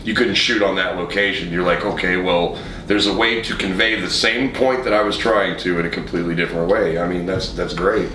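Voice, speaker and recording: A man's voice: sits low, fairly even intonation, brisk, bright, forceful tone, recorded from a distance. A man hosting a podcast, chatting informally and enthusiastically, swearing freely as he goes. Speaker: podcast host. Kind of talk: casual chat. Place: echoey studio room. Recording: echoey room, off-mic, faint noise.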